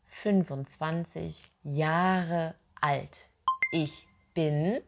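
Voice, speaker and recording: A woman in her thirties teaching German from home, saying each word twice slowly and clearly into a webcam. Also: deep voice, a little hiss or hum. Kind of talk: slow, deliberate pronunciation drill. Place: home room, seated in armchair. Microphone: webcam, head-and-shoulders framing.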